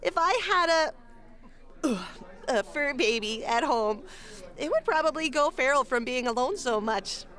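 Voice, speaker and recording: A woman's voice: sits high, lively intonation, unhurried, a bit bright. A woman playing a haughty, fussy mayor with a theatrical, snobbish manner in a comedy audio drama. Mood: falsely gracious. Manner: theatrical, snobbish, gushing falsely in public. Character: haughty, fussy female town mayor, disdainful of pets.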